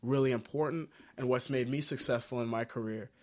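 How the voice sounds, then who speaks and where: A man's voice: mid-range voice, fairly even intonation, brisk. A man from Haiti who is a musician, talking earnestly and reflectively in a casual interview, with many fillers and restarts. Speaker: musician guest.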